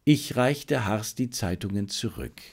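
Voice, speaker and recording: A man reading a detective novel as an audiobook, in a measured, expressive storytelling manner. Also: on the low side, slightly rough. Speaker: audiobook narrator. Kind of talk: scripted dramatic narration of a detective novel.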